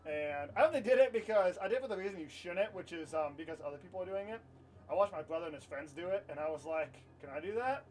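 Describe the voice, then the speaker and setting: A man's voice: high-pitched, lively intonation, fast talker, a little mellow, recorded from a distance, poor audio. A man telling a personal story casually to camera in an informal, rambling way, repeating himself and swearing now and then. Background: light music.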